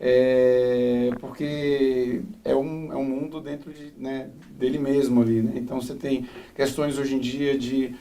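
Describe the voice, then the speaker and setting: A man's voice: mid-range voice, mellow. A man moderating a panel discussion, asking long questions filled with "né" and repeated hesitations.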